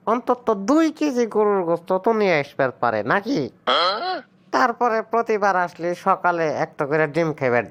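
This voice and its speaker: A man's voice: a little high, fairly bright tone, clean tone, lo-fi sound. A man playing an entitled young village son-in-law in a comedy cartoon, with a Sirajganj Bangladeshi accent and a complaining, sarcastic way of talking.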